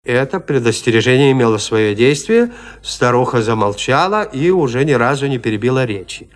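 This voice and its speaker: A man narrating classic prose for an audio drama in a measured, theatrical storytelling manner. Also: mid-range voice, animated.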